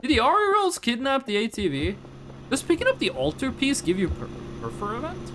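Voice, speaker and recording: A man's voice: very high-pitched, huge pitch swings, moderate pace, sharp tone, slight background noise, poor audio. A young man from Northern Norway, a Norwegian native speaker with a Northern Norwegian accent, chatting casually on a game livestream, rambling through stories with mock-formal asides. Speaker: streamer. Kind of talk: casual livestream chat while gaming.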